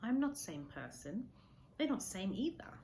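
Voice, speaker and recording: A woman voicing a gentle, dignified old Japanese hermit in a storybook, speaking halting broken English with earnest solemnity. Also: medium pitch, very animated, pitched above the performer's own voice. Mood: solemn, resolute.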